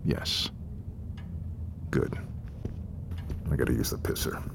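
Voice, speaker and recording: An actor playing a crude, domineering middle-aged investor with a needling, condescending manner in an audio drama. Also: male voice, slow pace, background noise, natural voice. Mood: smug, needling. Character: crude, domineering, smirking corporate investor partner. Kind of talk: naturalistic audio drama acting.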